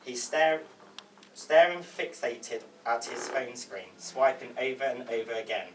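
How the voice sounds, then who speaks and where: A man's voice: mid-range voice, a little slow. Man reading stage directions from across the room. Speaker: stage directions reader at a table read. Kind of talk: read-aloud script narration. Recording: distant mic, faint room noise.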